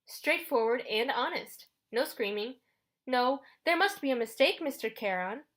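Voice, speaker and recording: A woman voicing an elegant, sinister male ferryman of the dead in an audiobook, with a British accent and a smooth, condescending drawl. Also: fairly high pitch, lively intonation, a little slow, a bit bright, voice pushed up. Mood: dryly amused.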